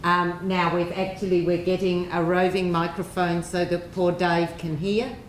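Brisk woman moderator with a loud voice. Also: lowish voice, level tone. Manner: brisk, organizing. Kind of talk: chairing a Q&A. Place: lecture theatre. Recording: echoey hall, background noise.

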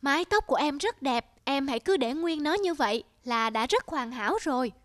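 A woman voicing a devoted, reassuring young husband in a cartoon, gently playful. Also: high-pitched, expressive, brisk. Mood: gentle, coaxing. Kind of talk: cartoon dialogue.

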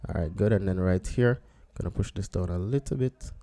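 A man with a low, mellow voice walks through a photo edit on screen. He talks in an easy, unhurried way, thinking aloud as he clicks through each step. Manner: relaxed, thinking aloud while working. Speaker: photographer teaching photo editing. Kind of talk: screen-recorded software tutorial.

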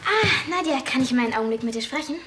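High-voiced, insistent schoolgirl. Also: animated, rapid-fire, heavy background noise. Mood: pleading. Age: teenager. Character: emotional, insistent teenage schoolgirl. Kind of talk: TV series acting. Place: indoors.

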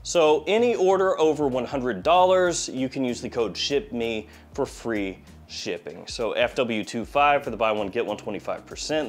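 A man in his forties with a slight head cold, giving a casual, jokey product review as a video host. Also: medium pitch. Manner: playful, jokey, wry asides. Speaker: fragrance review channel host. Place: small indoor studio.

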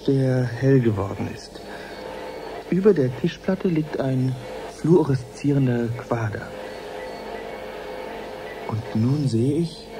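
An actor playing an eager male science reporter in a radio drama, commenting live with measured wonder. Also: medium pitch. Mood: awed, searching.

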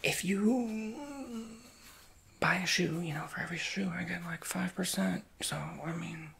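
A woman in a whispered ASMR roleplay as a shoe shop clerk, breathy and chatty, with playful, slangy asides to her customer. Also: on the low side, steady tone, a little slow, fairly bright tone.

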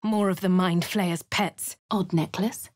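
An actress playing a posh, composed fantasy adventurer in a video game, with a British accent and a refined, measured, dramatic delivery. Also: female voice, fairly low pitch, fairly even intonation, a little mellow, dry recording, clean studio sound. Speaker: voice actor for a video game player character. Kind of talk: scripted game voice lines and narration.

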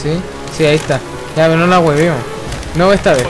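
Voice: medium pitch, steady tone, brisk, over music. A young Chilean player in casual gaming voice chat, talking in slang with heavy swearing. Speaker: video game player.

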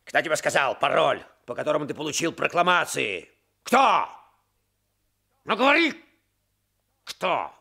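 An actor in a Soviet radio drama playing a smug, menacing tsarist police officer, theatrical, swinging from muttering to sudden barks. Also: male voice, on the high side, sing-song, unhurried, vocal fry, pauses often, bright, forceful tone, breathy edge, exaggerated voice. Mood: threatening, impatient.

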